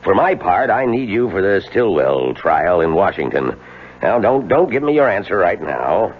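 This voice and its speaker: An actor playing an authoritative older law firm boss in a radio mystery, measured and persuasive with deliberate pauses. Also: male voice, low voice, very animated. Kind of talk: 1970s radio mystery drama acting. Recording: thin, lo-fi, hissy old radio recording.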